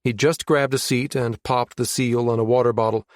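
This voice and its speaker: A man reading a science fiction audiobook in a steady, measured narration. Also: mid-range voice, dry recording, studio quality. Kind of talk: scripted narration.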